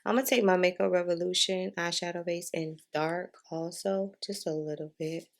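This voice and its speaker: A woman's voice: on the low side, fairly even intonation, normal speed. A woman talking in a casual, chatty way as a beauty vlogger giving a makeup tutorial, informal and repeating herself for emphasis.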